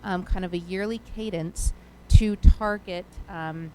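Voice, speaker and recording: Low-pitched woman with a casual, hedging delivery. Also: steady tone, measured pace, mellow, slight background noise, low-quality recording. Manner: casual, with many fillers and hedges like "kind of". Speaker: communications committee member. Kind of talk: committee update at a board meeting.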